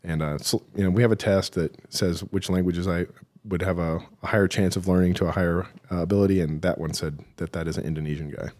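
A man being interviewed as a military veteran, telling his story haltingly with self-deprecating, dry humour. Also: deep voice, steady tone, darkish tone. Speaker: podcast guest, military veteran.

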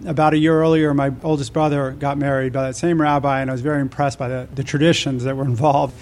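A man's voice: medium pitch, flat delivery, fast talker, darkish tone, slight background noise. The level-toned American man you would hear as a podcast guest, recounting his life story conversationally with little rise or fall. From United States (New Mexico and Nevada).

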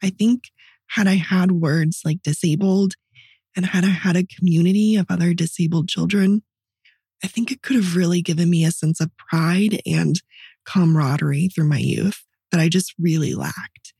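A woman with a strongly raspy, rather low voice tells personal stories on a podcast. Her tone is dark and mellow, and her delivery is candid and reflective, in a conversational style. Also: steady tone, normal speed, no room sound, clean studio sound. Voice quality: raspy voice from partly paralyzed vocal cords. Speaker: podcast co-host. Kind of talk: personal storytelling in casual podcast chat.

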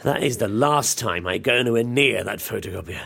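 An actor playing a hapless, aggrieved office worker in an audio comedy, raspy and gravelly, complaining in a halting, melodramatic way. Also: male voice, mid-range voice, a bit bright. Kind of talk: audio drama comedy acting.

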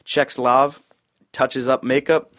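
A man's voice: mid-range voice, little pitch movement, takes it slowly, fairly bright tone. A man reading stage directions at an informal screenplay table read in clipped, matter-of-fact phrases.

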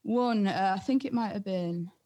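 Young geordie lass, dj being interviewed, chatty n slangy, medium pitch voice. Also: female voice, normal speed, warm tone. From North East England. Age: young adult. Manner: informal, anecdotal, slangy. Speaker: DJ and music producer being interviewed. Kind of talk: casual chat.

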